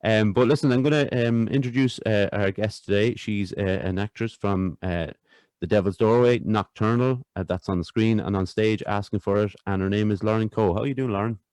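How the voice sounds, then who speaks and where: A man's voice: lowish voice, steady tone, brisk, a little mellow, clear, resonant tone, no room sound. A man hosting a podcast, talking loosely with lots of fillers. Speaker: podcast host. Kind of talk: casual podcast interview.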